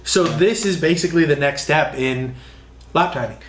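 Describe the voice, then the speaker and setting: A man's voice: fairly high pitch, normal speed. A man in his thirties hosting a casual product interview, enthusiastically recapping and checking points. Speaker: interviewer, host. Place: indoor workshop with hard walls. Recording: roomy echo, faint background noise, clicks.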